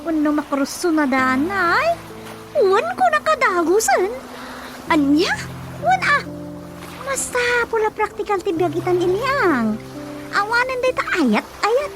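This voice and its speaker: A woman with an Ilocano accent playing an excitable, dramatic young rural woman in a radio drama comedy, with exclamatory, swooping delivery. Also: very high voice, a little slow, pauses often, over loud music, exaggerated voice. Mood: excited, teasing.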